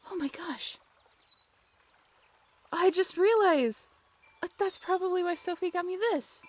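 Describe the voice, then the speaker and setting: A young woman acting an affectionate, playful girlfriend in a romantic audio roleplay, expressive and teasing. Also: extremely high pitch, takes it slowly, pauses often. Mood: delighted, grateful. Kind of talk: romantic comfort audio roleplay, acted one-sided conversation.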